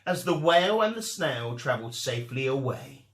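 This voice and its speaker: A man reading a rhyming children's story aloud with measured, sing-song delivery and dramatic emphasis. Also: mid-range voice, big pitch swings, roomy sound.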